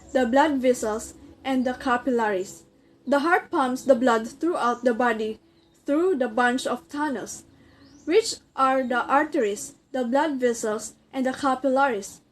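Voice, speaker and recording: A woman's voice: high-pitched, unhurried. A woman playing an earnest circulatory system in amateur reader's theater, instructing and demonstrating with formal address.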